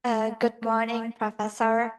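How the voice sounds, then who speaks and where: A woman in a lecture audience asks a formal question. Her voice sits a little high. She is polite and courteous throughout. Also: completely flat delivery, unhurried. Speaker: audience member asking a question.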